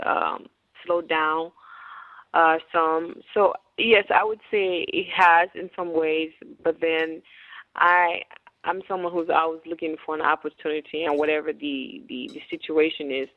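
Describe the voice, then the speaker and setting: A woman from Haiti, a business owner, talking as a guest in a radio interview, earnest and often restarting her words. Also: deep voice. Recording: tinny phone-like radio broadcast.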